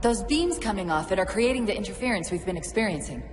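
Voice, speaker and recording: An actress playing a quick-witted, loyal female AI companion in a video game, articulate and urgent. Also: medium pitch, animated, background noise, natural voice. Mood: focused. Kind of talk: cinematic video game acting. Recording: loud music and effects behind.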